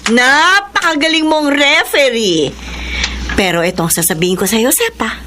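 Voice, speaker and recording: A woman's voice: high-pitched, lively intonation, measured pace, noisy background. An actress with a Filipino accent playing an amused, teasing, gossipy neighbour lady in a radio drama. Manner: exclaiming, mock-admiring. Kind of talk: Tagalog radio drama acting.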